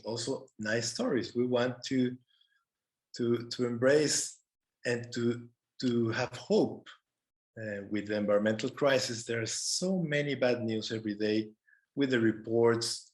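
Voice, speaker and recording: Slow-speaking man on a panel. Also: medium pitch. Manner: earnest, halting with repeated words. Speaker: panelist, conservation worker. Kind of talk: prepared but informal panel talk. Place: online video call.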